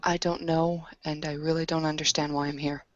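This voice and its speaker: A woman's voice: low voice, flat delivery, fairly quick, slight background noise. A woman playing a tabletop roleplaying game, improvising her character's lines. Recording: dull lo-fi recording.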